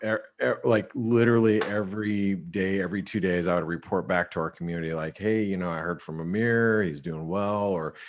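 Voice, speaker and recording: Male webinar host, low voice, loose chatty delivery with lots of fillers. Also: fairly even intonation, a little fast, hardly any pauses, a little hiss or hum. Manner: casual, many fillers like "you know". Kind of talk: casual webinar chat. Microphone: video call.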